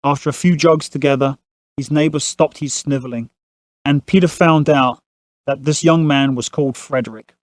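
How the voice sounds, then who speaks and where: A man's voice: medium pitch, fairly even intonation, normal speed, dry recording. Dry, close-recorded male storyteller. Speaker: narrator. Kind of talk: scripted storytelling narration.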